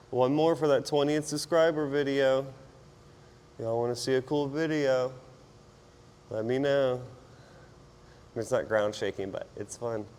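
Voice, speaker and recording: A man with a hoarse, partly lost voice talks casually and self-deprecatingly as a fitness vlogger while he exercises. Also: mid-range voice, a little mellow, a little hiss or hum. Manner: informal, self-deprecating, rambling while exerting himself. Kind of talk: casual workout vlog chat while exercising.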